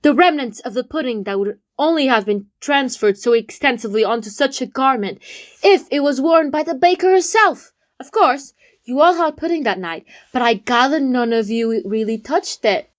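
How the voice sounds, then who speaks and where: Woman doing keen young detective in an audio play, high voice, showy big-reveal delivery. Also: expressive, normal speed. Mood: proud, explanatory. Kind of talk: amateur audio drama, detective monologue.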